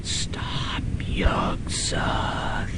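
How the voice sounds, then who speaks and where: A man playing an ominous ghost speaking through a possessed girl in a breathy, halting stage whisper. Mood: ominous, urgent. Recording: rumbling, noisy college radio tape.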